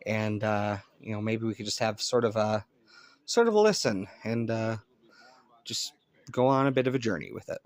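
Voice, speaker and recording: A man's voice: on the low side, expressive, moderate pace, no echo. A man talking casually and unscripted to viewers as a hobbyist vlogger, in a hesitant, rambling way.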